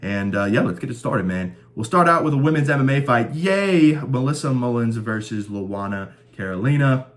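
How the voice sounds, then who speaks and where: A man's voice: medium pitch, animated, moderate pace, hardly any pauses. A young man hosting a casual fight prediction show, joking and rambling, slightly stuffed up from a cold. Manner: laid-back, joking, rambling with fillers. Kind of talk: casual sports prediction chat.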